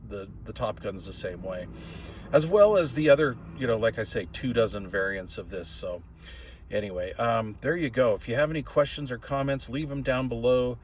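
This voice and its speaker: An older man talks in a medium-pitched voice, casually reviewing equipment as a hobbyist. His delivery is folksy and plain-spoken. He rambles from point to point like someone chatting to regular viewers. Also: normal speed, slight background noise. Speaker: radio hobbyist reviewer and video host. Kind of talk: casual product review vlog.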